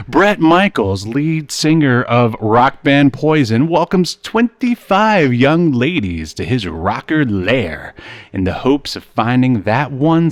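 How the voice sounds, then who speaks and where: A man co-hosting a podcast, chatting casually about television in halting, hesitant phrases. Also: mid-range voice. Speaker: podcast co-host. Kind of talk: casual chat about a TV show.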